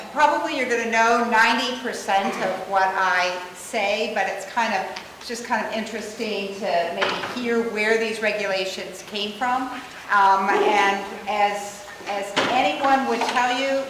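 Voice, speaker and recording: A woman's voice: mid-range voice, background noise. A middle-aged American woman, a physician, giving a conversational and emphatic conference talk, repeating her points. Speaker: physician speaker. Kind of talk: conference presentation. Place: hotel conference room. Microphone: no mic, room pickup. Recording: echoey hall from far off.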